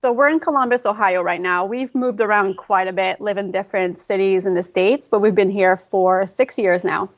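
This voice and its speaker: A woman from Quebec City with a Quebec French accent, a fitness coach guest talking casually and warmly with many ums; French is her first language. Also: mid-range voice, normal speed, hardly any pauses, dull audio. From Quebec City, Canada. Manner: friendly, with frequent ums. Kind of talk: casual interview.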